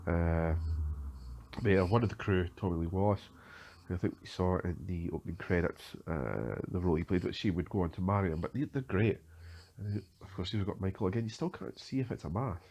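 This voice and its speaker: A man's voice: low-pitched, a little fast, a little mellow. A man giving unscripted film commentary as a podcast host, hesitant, with many fillers and restarts. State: has a cold.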